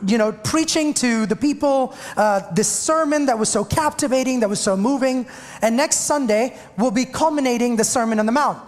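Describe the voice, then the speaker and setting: A man's voice: very high-pitched, in a large hall. A man from India with an Indian English accent, a pastor giving a chatty, joking sermon to his congregation. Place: church sanctuary.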